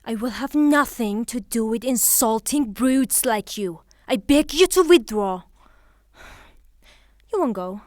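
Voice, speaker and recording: An actress playing a proud, passionate young widow in a theatrical audio drama, haughty and dramatic in manner. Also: female voice, on the high side, lively intonation, slow pace, no echo, studio quality. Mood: indignant.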